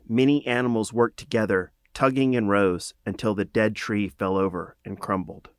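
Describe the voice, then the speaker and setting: A man reading a children's fantasy audiobook as a dramatic, earnest storyteller with measured phrasing. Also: fairly low pitch, no echo, clean studio sound. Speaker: author reading own audiobook.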